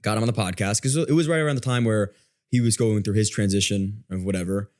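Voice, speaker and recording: A man's voice: fairly low pitch, quick pace, a little mellow. A man chatting casually as a podcast guest, telling stories informally and often interrupting himself. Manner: informal, self-interrupting storytelling. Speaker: podcast guest, online content creator. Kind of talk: casual chat.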